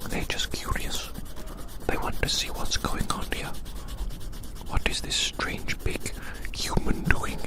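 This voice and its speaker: A man whispering in a gentle, soothing, breathy and unhurried ASMR roleplay, softly repeating his phrases. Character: gentle giant human befriending a little creature. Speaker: ASMR performer. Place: outdoors in the grass.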